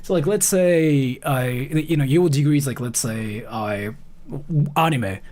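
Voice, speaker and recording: A man in casual livestream mode with a mid-range voice. He rambles along haltingly, circling back and repeating phrases as he gives his commentary. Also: mid-range voice, a little mellow. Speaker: live streamer reacting to a video.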